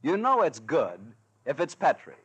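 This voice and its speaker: A man with an American accent announcing and pitching a sponsor in 1940s radio style, with enthusiastic, flowing salesmanship. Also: huge pitch swings, moderate pace, a bit bright, breathy edge.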